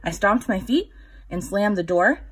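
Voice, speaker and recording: A woman reading a picture book aloud to young children in a gentle, instructive way, with a slightly husky voice. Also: mid-range voice, expressive. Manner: gentle, instructive, pausing to put questions to the child listener. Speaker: children's story reader.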